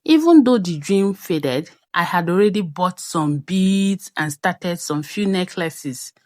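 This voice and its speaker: A young adult woman with a Nigerian accent tells a personal story in a conversational, expressive way, as a craft vlogger's voice-over. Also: fairly low pitch, slow, dry recording, clean studio sound.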